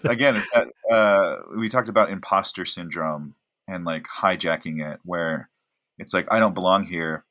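A man's voice: low voice, normal speed, a bit bright. A man in his thirties, an actor from Oregon, chatting casually as a podcast guest, rambling and self-deprecating with many fillers. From Oregon, USA. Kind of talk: casual interview chat.

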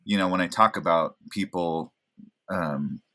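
Very deep-voiced man, relaxed and rambling. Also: measured pace. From Oregon, USA. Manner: relaxed, rambling, self-deprecating, many fillers. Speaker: actor, guest. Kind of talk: casual interview chat.

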